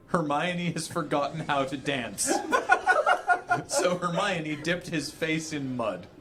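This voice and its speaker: Guy reading a silly story out loud all dramatic, close to cracking up. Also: a little high, heavy background noise. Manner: theatrical, often breaking into laughter. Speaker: reader on a charity stream.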